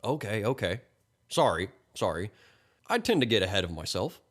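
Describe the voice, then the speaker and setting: A man's voice: on the low side, big pitch swings, normal speed, a bit bright. A man voicing a friendly, pushy, folksy middle-aged stranger in an audiobook story, with a funny accent. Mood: chummy, curious. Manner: folksy, chummy, coaxing.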